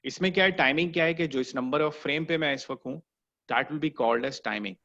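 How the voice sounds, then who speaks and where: A man's voice: a little high, moderate pace, sharp tone, lo-fi sound. A man teaching an online animation class, explaining patiently and checking that students follow. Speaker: animation instructor.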